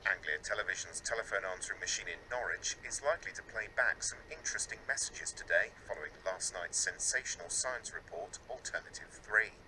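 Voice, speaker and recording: Lowish, sharp-edged man reading a report. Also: fairly quick, hardly any pauses, a little hiss or hum, low-quality recording. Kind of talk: formal reading of a newspaper report.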